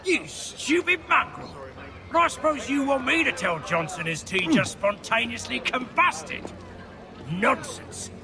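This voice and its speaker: High, creaky, furious British bully. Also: male voice, sing-song, measured pace, heavily acted. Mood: furious, threatening. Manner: threatening, contemptuous, sputtering outbursts. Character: furious bullying British villain berating his underling. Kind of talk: video game cutscene acting. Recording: game music behind the voice.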